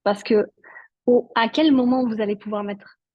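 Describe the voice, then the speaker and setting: Husky-voiced Swiss-raised woman, chatty interview guest. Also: a little high, fairly even intonation, normal speed, no echo, highs cut off. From Switzerland. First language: Italian. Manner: chatty, many fillers like "en fait". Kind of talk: casual interview talk.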